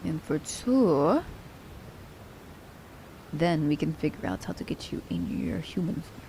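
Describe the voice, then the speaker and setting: A woman's voice: low voice, expressive, measured pace, creaky, mellow, slight background noise, heavily acted, rough recording. A woman playing a sly, commanding assassin who teases her captive werewolf in an ASMR roleplay, with a haughty, teasing manner. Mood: cool, scheming.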